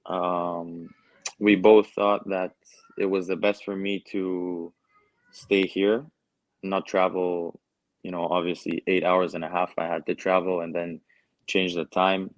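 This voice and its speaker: Deep-voiced young Canadian man. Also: a little slow, no echo, poor audio. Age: young adult. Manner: relaxed, hesitant with many fillers. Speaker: guest soccer player. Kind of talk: casual interview answers.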